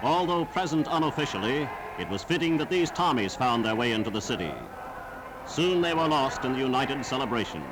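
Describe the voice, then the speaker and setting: A man's voice: medium pitch, animated, a little fast. A man narrating a 1940s wartime newsreel in a formal, grandiose, declamatory style. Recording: noisy old 1940s newsreel soundtrack.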